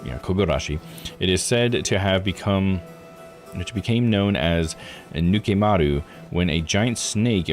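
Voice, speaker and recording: A tired male game streamer with a lowish voice and lively intonation reads on-screen text over soft music. He drops in casual asides and corrects himself on difficult names. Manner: stumbles over foreign names and self-corrects. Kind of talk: live playthrough, reading game text aloud with casual asides.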